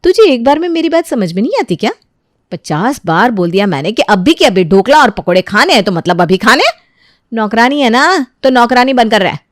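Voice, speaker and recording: A woman's voice: fairly high pitch, sing-song, a little fast, no room sound, studio quality. A woman playing a hot-tempered, spoiled young daughter-in-law in an audio story, scolding and imperious. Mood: angry, imperious.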